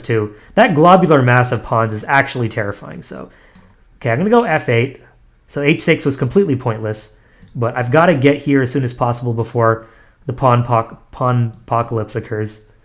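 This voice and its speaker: A young man thinking aloud as he plays blitz chess online, muttering moves and self-deprecating asides with frequent ums and restarts. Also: mid-range voice. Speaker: chess streamer.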